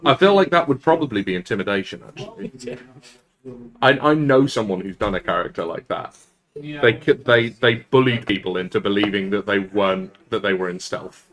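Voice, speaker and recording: A man's voice: lowish voice, moderate pace, fairly bright tone, rough recording. A man playing a tabletop game, chatting and bantering in a jokey way. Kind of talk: casual bantering chat.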